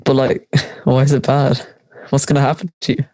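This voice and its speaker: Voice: mid-range voice, flat delivery, normal speed, darkish tone, dry recording, lightly acted. A teenage performer playing a carefree, teasing school friend in conversational audio drama dialogue. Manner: laid-back, teasing.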